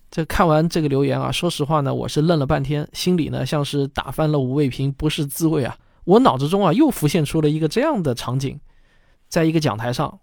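A man's voice: on the high side, vocal fry, darkish tone, no echo, clean studio sound. A man speaking as host, giving a reflective personal commentary.

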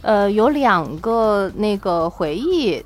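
A woman's voice: mid-range voice, sing-song, slow. A woman co-hosting a podcast in casual, earnest conversation. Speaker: podcast co-host. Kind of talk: casual chat.

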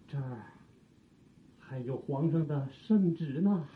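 A woman acting an aging, servile, guilt-ridden palace eunuch in an audio drama, with a thin, husky voice and halting, sighing, theatrical delivery. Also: sits low, animated, narrow, tinny audio, a little hiss or hum. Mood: hesitant, grave.

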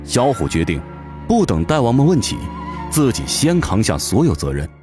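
A man's voice: medium pitch, wildly swooping pitch, normal speed, darkish tone. A man giving dramatic scripted narration of a fantasy audio novel. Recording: music behind the voice. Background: music.

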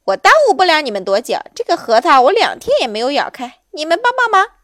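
A woman's voice: high voice, big pitch swings, fast, a bit bright, no room sound, studio quality, pitched above the performer's own voice. A woman voicing a whiny, homesick monkey in a children's story, rambling on in a plaintive, exclamatory way. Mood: pleading.

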